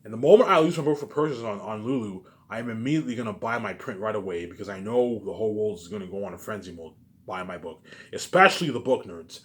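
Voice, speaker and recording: A very quick-talking man with a slightly low voice rambles informally, like a vlogger thinking aloud. He hits phrases hard, then drops into muttered tags, often repeating and stumbling over his own words. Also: animated. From Canada. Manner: repetitive, halting, with habitual trailing tags; emphatic, profane bursts dropping to muttered asides.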